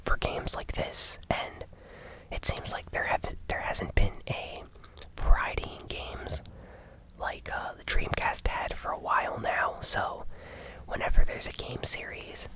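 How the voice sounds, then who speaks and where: A host whispering a casual gaming news recap in a breathy, conversational ASMR style. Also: unhurried.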